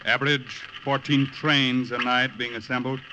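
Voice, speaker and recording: Authoritative intelligence colonel, male actor, clipped military delivery, average pitch, crackly vintage radio production. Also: little pitch movement, normal speed, lo-fi sound, natural voice. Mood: brisk, focused. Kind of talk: 1950s radio drama acting. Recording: noisy vintage radio broadcast.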